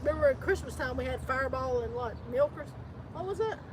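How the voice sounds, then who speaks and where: A woman's voice: sits high, fast. A woman with a Southern US accent co-hosting a casual outdoor live stream, teasing and laughing often. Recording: noisy outdoor stream audio.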